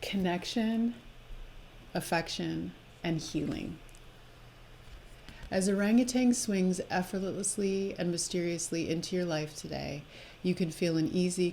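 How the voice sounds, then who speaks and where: Woman, low voice, live-stream host, spiritual reading, informal asides, faint background noise. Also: dark tone, low-quality recording. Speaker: host of a live spiritual oracle card presentation. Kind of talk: live casual talk and reading aloud.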